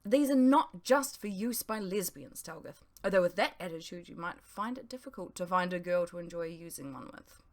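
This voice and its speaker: A woman voicing a composed, playful female academy teacher in an audiobook, measured and gently instructive. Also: on the low side, lively intonation. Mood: stern. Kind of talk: dramatised audiobook dialogue.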